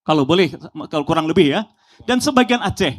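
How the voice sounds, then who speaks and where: High-voiced man lecturing with emphasis. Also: animated, fairly quick. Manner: emphatic lecturing, lists facts with rising force. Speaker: preacher. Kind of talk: speech at a press gathering.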